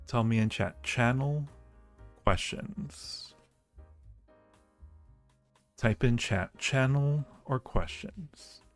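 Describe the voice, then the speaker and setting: A man's voice: medium pitch, drawn-out words, pauses often. A man hosting a livestream, chatting casually and playfully with viewers and speaking to them affectionately. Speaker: livestream host and audio roleplay creator. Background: background music.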